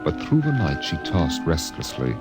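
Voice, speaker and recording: A man's voice: extremely low pitch, sing-song, normal speed, loud music behind the voice. A man working as narrator, reading scripted science fiction in a dramatic storytelling style.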